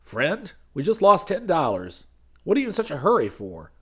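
A man plays a smarmy radio preacher, his voice pitched way up and sweet. He wheedles and pleads in a lively, swooping drawl. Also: normal speed, slightly rough, heavily acted. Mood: wheedling. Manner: high sugary pleading voice, Southern drawl. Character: fast-talking oily male radio preacher, wheedling.

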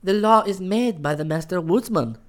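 Man doing a bold young forest nymph, high and swooping. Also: animated, moderate pace. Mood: bold, defiant. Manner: earnest, pleading, boldly insistent. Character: bold, tender young female forest nymph, earnestly pleading.

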